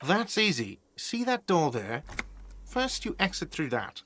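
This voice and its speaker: An actor playing an irritable, guarded cafeteria manager in a video game, curt and sardonic. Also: male voice, on the high side, wildly swooping pitch, measured pace, a bit bright, exaggerated voice. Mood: curt, impatient. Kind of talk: game voice acting. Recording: music behind the voice. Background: game music.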